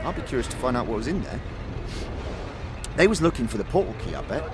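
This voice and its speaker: A man's voice: mid-range voice, very animated, fast, music in the background, close to an everyday voice. A man voicing a friendly, easygoing teenage boy smith who talks in street slang in a fantasy audio drama. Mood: friendly, chatty. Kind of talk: audio drama character acting.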